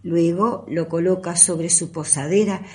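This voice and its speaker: A woman's voice: lowish voice, steady tone, a little slow, mellow. A woman narrating an amateur radio play from a script. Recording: audible background noise.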